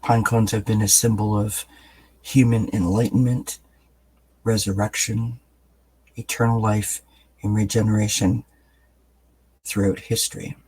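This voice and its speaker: Male tarot reader, low husky register, raspy from just waking; soft-spoken, unhurried, filler-heavy delivery. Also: low-quality recording. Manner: soft-spoken, relaxed, meandering with many fillers. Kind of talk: gentle daily tarot reading. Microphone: camera mic, above-view camera.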